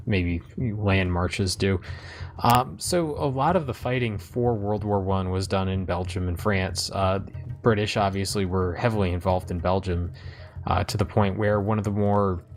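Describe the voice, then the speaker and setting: A man with a deep, gravelly voice hosts a gaming commentary. He talks casually, as to co-commentators, over what is being played. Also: fairly even intonation, moderate pace. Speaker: host of a game let's play.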